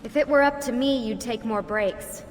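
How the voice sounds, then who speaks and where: An actress playing a level-headed young team leader, earnest and caring, in naturalistic game dialogue. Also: female voice, a little high, moderate pace, natural voice. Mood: concerned. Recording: reverberant room, faint music behind.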